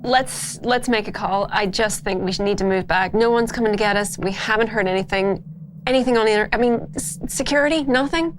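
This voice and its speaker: A woman's voice: medium pitch, a little fast, natural voice. Woman as a firm colony officer, faint noise. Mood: firm, impatient. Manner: firm, practical, directive.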